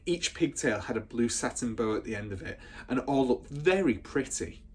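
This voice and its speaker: Guy reading a kids book out loud, dramatic teacher style, bit husky, medium pitch. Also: expressive, moderate pace. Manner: dramatic, emphatic. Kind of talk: expressive children's storybook narration.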